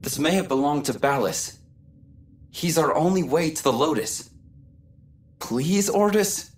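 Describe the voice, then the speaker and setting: Slow, resolute warrior in echo. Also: male voice, fairly high pitch, lively intonation. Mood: pleading. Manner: deliberate, intense, determined. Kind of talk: video game voice acting. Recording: echoing voice under loud game music.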